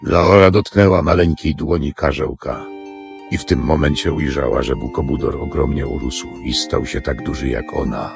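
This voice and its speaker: A man's voice: extremely low pitch, moderate pace, creaky. A man narrating a scripted fairy tale as the storyteller of an audio drama. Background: music.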